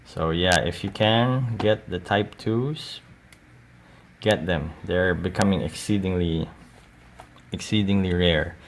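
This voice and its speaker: A man with a very low voice and a lot of vocal fry talks very slowly through a casual show-and-tell. He sounds halting and unscripted, with some faint noise behind him. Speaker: collector showing cassette tapes. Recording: audible steady background noise.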